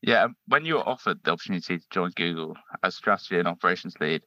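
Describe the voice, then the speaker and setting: A young man, a student, asking interview questions in a brisk, direct way. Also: deep voice, animated, pushed and bright, dry recording. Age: young adult. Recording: dry, lo-fi sound.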